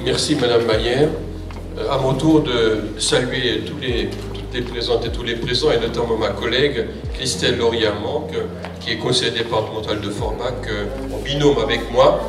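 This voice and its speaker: A man's voice: mid-range voice, fairly even intonation, normal speed, music in the background. An older man, a local councillor, giving a semi-formal, off-the-cuff public speech, recorded from across a large foyer. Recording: echoey hall PA from far off.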